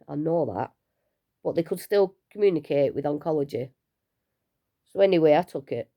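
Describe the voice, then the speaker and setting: A woman, unwell and low on energy, talking casually and rambling a little in a personal vlog from home. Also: warm tone. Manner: chatty, rambling, self-deprecating with small laughs. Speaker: vlogger sharing her cancer treatment.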